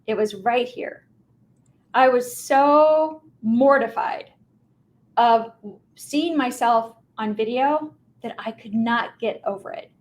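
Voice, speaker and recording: Middle-aged American woman, high voice, conversational presenter who speaks straight to listeners with emphasis. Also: slow. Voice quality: high-pitched voice. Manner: emphatic, informal, direct address to listeners. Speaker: mortgage professional giving a talk on video marketing. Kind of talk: motivational business presentation, conversational storytelling.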